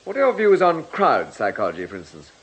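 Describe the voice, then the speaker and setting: A brisk, courteous police inspector. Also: male voice, fairly high pitch, huge pitch swings, a bit bright, full character voice. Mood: probing. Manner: polite, measured phrasing, insistent questioning. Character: composed, courteous, probing male police inspector. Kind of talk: radio drama acting.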